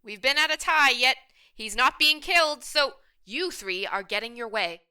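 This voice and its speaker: Woman as a blunt, high-pitched survivor. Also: lively intonation, moderate pace, bright, forceful tone, clean tone. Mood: defensive, sharp. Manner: pointed, argumentative. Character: blunt, self-preserving, argumentative woman survivor. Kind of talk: radio play acting.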